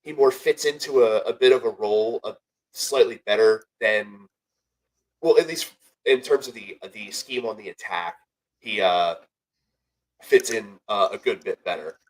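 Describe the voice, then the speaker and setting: A man's voice: on the low side, a little slow, rough recording. A young man co-hosting a casual sports podcast. He sounds a little nasal and congested from a cold, and he rambles, corrects himself and jokes through his ad reads. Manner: rambling, self-correcting, joking. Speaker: podcast co-host.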